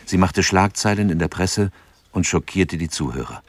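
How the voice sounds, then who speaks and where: An actor narrating a scripted radio drama in a sober, factual reporting manner. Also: male voice, sits low, animated, normal speed, no room sound.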